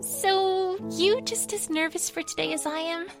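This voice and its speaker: A woman voicing a sweet, nervously hopeful young pony princess with a gentle, polite manner in a fan fiction reading. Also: very high voice, steady tone, measured pace, over music.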